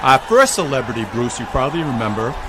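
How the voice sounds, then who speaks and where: A man's voice: mid-range voice, big pitch swings, quick pace, background noise. A man hosting a comic radio parade commentary with earnest, mock-serious delivery. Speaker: radio host announcing a parade. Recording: radio broadcast with music and crowd behind.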